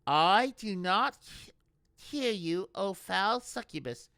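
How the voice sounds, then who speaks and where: An earnest, timid young monk with a high, reedy voice that jumps and flutters, as a male reader puts him on. He speaks slowly and shyly, with a dry, close sound. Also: expressive, pushed and bright, clear, resonant tone, no room sound, heavily acted. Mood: flustered.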